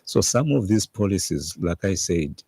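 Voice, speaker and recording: A Zambian man talks off the cuff in a deep, creaky voice. The tone is husky and dark, like a commentator explaining his point in a news clip. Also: big pitch swings, moderate pace, no room sound. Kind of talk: unscripted spoken explanation.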